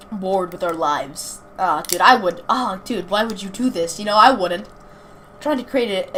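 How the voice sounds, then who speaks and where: A young woman casually commenting on a game and reading its text aloud, informal and chatty, stumbling over words now and then. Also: on the low side. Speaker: gaming video host. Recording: clearly noisy amateur home recording.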